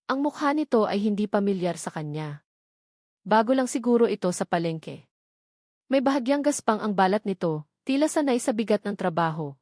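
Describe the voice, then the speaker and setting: A woman's voice: mid-range voice, a little mellow, no room sound, studio quality. A woman narrating a scripted romantic drama story as a dramatic storyteller.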